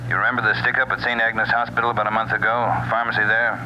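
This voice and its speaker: An American actor playing a brisk, curt chief of detectives in a 1940s radio drama, with clipped, gruff talk. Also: male voice, on the low side, flat delivery, lightly acted. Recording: muffled, noisy old radio broadcast recording.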